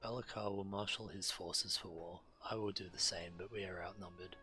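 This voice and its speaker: A man streaming a video game, reading quest text aloud in a theatrical, deliberate way. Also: low-pitched, fairly even intonation. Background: faint game music.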